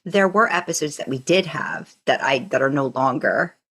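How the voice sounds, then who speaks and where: A woman's voice: sits low, lively intonation, moderate pace, fairly bright tone, husky. An American woman co-hosting a podcast, talking in a casual, conversational way. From United States. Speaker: podcast co-host. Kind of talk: casual fandom podcast chat.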